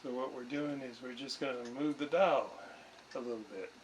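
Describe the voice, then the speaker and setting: A man's voice: mid-range voice, normal speed. A man giving a casual hands-on demonstration as a repair hobbyist, thinking aloud in short muttered fragments as he works. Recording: clearly noisy workshop recording.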